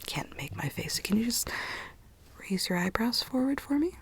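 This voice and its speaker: A woman whispering in a breathy, unvoiced voice, gently role-playing a consultant who explains things to a client in ASMR style. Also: a little high, big pitch swings, moderate pace, slight background noise.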